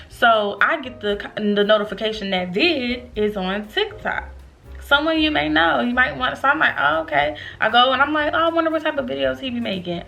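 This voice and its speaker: A woman in her late twenties telling a storytime to her viewers in an animated, chatty way, calling them y'all. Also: high voice, creaky. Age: 20s. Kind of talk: casual storytime vlog.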